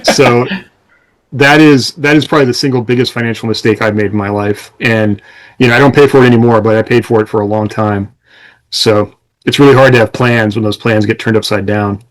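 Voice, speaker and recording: A man's voice: on the low side, steady tone, a little fast, low-quality recording. A man co-hosting a casual panel podcast, giving practical advice with a self-deprecating, lightly amused manner. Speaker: podcast co-host and author.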